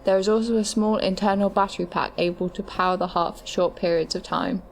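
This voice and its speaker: A woman's voice: medium pitch, steady tone, brisk, creaky, slight background noise, close to an everyday voice. A woman playing a matter-of-fact medical adviser, reading scripted explanations in an audio drama. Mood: informative.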